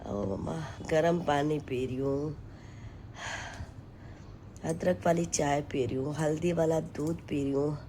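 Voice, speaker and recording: A hoarse woman with a sore throat, chatting to her followers from home in a weary, sighing way. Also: sits low, fairly even intonation, dark tone, slight background noise. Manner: slow, weary, sighing between lines. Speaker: vlogger and home tailor. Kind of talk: casual chat to camera. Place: at home, taking steam.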